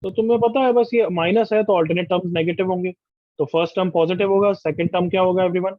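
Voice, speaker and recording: A man teaching an online class, drilling his students with quick questions. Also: sits high, fairly even intonation, lo-fi sound. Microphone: video call.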